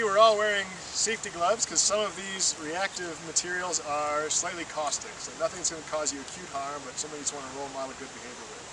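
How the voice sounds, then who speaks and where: Noisy outdoor stream recording, off-mic, male field instructor, patient, encouraging, step-by-step demonstration. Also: on the high side. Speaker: water-quality field trainer. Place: outdoors beside flowing water. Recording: distant mic, loud outdoor stream noise.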